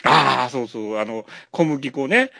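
A man with a Kansai accent talks films casually as a podcast co-host. His pitch moves in a lively way and his voice often drops into gravelly fry. The sound is close and dry, and he feels his way through each thought. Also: measured pace, no echo. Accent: Kansai Japanese. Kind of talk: casual film discussion.

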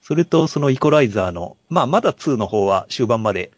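A man with a husky voice chats about films as a podcast co-host. His intonation is lively, and he explains politely in short, halting phrases. The recording is dry, with little room sound. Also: mid-range voice, dry recording. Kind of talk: casual film discussion.

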